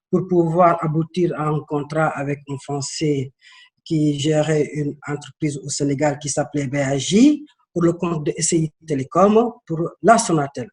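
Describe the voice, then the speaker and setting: Male guest from Senegal, 30s, earnest and hesitant, slightly high voice. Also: a little mellow. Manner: earnest, storytelling, with hesitations and repeats. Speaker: interview guest. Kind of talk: interview.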